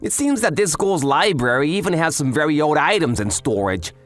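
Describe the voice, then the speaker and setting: A man's voice: on the high side, expressive, a little fast, a bit bright. A man voicing a curious, easily astonished student from a handyman club, narrating casually and expressively in an anime-style dub. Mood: puzzled, explaining. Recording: voice over background music.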